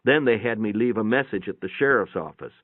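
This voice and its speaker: Through a narrow, phone-like recording with very little room sound comes a man reading fiction aloud. His voice sits on the low side, and he narrates in the first person with a folksy, matter-of-fact delivery. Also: brisk, dry recording.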